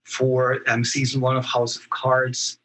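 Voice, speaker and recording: A man speaking as a guest actor in a casual podcast interview, telling stories in a halting, thoughtful way. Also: medium pitch, completely flat delivery, pushed and bright, low-quality recording.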